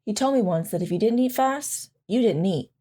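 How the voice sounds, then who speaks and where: A woman playing a devoted military wife in an audio drama, gently and wistfully recalling life with her husband. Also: mid-range voice, lively intonation, normal speed, lightly acted. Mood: fond, reflective. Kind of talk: audio drama acting, reminiscing narration.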